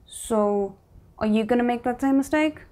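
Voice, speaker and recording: Female reaction host, higher-pitched, casual, addresses on-screen characters directly. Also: moderate pace, dark tone. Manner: talks back to the characters on screen.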